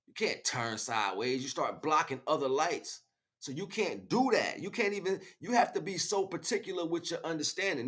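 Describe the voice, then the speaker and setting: A man's voice: mid-range voice, fairly bright tone. An American man delivering an impromptu spiritual monologue to listeners, preachy and slangy, repeating phrases for emphasis. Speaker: spiritual livestream host.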